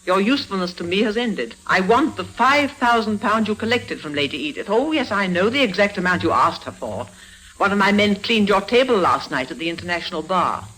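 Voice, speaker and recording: An actress playing a ruthless older woman con artist in an old radio drama, menacing and deliberate in manner. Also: mid-range voice, lively intonation, fairly bright tone, poor audio. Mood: cold menace. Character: cunning, ruthless old female con artist and crime boss. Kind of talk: 1950s radio drama acting.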